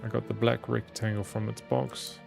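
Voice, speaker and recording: A man narrates a visual novel playthrough in a very low, gravelly voice. He reads in a measured storytelling way, stumbling now and then, with game music clearly behind him. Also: level tone. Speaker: gaming channel host. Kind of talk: reading aloud a visual novel during a playthrough.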